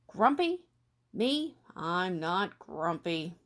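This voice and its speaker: A woman's voice: on the low side, sing-song, drawn-out words, a bit bright, exaggerated voice. A woman voicing a grumpy, stubborn male ape from a storybook, protesting in an emphatic, indignant way.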